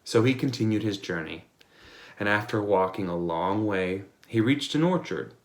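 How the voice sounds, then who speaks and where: A man's voice: lowish voice, lively intonation, moderate pace, reverberant. A man reading fairy tales aloud in a playful storytelling manner. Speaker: storybook reader.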